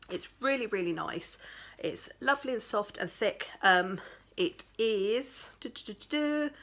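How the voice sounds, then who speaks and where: A woman's voice: mid-range voice, fairly bright tone. A woman, tired and chatty, showing off yarn from a small craft room at home. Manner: chatty, rambling, with many fillers. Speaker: crochet vlogger. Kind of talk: casual chat, showing yarn.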